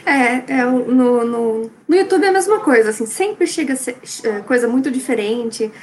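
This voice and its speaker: High-pitched woman telling a cute story, lots of fillers, bit of faint noise. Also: lively intonation, normal speed. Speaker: science communicator on a channel. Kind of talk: casual interview storytelling.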